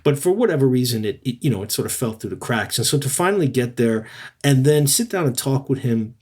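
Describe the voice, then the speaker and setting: Husky-voiced man, animated storyteller. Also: medium pitch, a little fast. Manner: animated storyteller, acts out quoted voices, laughs easily. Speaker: co-host, jazz bar hunter. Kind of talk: casual conversational podcast chat.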